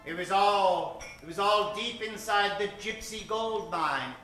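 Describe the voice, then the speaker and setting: A man's voice: high voice, measured pace, sharp tone. A man narrating and announcing in a theatrical, suspenseful old-time radio style. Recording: very echoey, distant stage recording with music.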